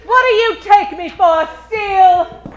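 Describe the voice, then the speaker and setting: A woman's voice: very high-pitched, measured pace, a crowd in the background. A woman playing a brassy, desperate, strong dame client in a comedic detective radio play, with hard-boiled melodramatic patter. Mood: urgent, tough.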